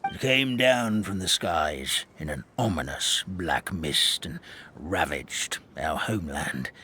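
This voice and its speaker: A man voicing a kindly, forgetful elderly forest creature in a video game, telling stories in a halting, rambling, theatrical way. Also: on the low side, sharp tone, breathy edge, exaggerated voice. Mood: grave, fearful. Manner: halting, rambling, theatrical storytelling with stammered repeats. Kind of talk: video game character storytelling. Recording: studio voice over background music.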